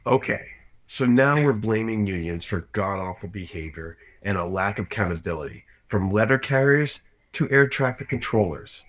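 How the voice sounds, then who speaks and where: A man's voice: lowish voice, lively intonation, normal speed, a bit bright. A man giving critical reaction commentary in an argumentative, explanatory way.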